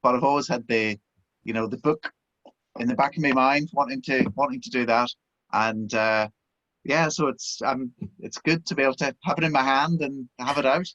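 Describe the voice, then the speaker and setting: A man's voice: mid-range voice, moderate pace, pushed and bright, no echo, dull audio. A middle-aged man from Belfast with a Belfast accent, an author talking chattily about his past in an interview. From Northern Ireland. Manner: chatty and self-deprecating, with frequent restarts. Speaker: author and former broadcast journalist. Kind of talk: relaxed interview chat.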